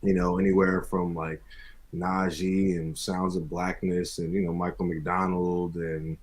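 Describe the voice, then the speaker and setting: A man's voice: low voice, level tone, a little slow. A man from Maryland and Florida chatting casually as an interview guest, laid-back, often saying "you know.". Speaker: guest music producer.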